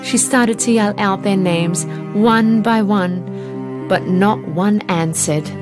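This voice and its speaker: A woman narrating a children's fairy tale in an expressive, dramatic storytelling manner. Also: medium pitch, slow, over music.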